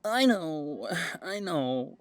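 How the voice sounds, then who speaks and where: A man's voice: a little high, sing-song, very slow, no echo, exaggerated voice. A man playing an anxious, good-natured character who is easily intimidated, speaking hesitantly and with exasperation in an audio drama. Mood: defensive, flustered. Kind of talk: audio roleplay drama acting.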